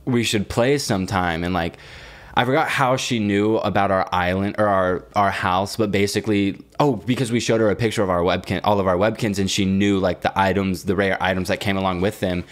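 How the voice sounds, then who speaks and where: A young man with a fairly low voice co-hosts a podcast. He tells stories in loose, slangy casual talk, swearing freely and teasing as he goes. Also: fairly even intonation, brisk. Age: young adult. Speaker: podcast co-host. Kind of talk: casual chat, storytelling.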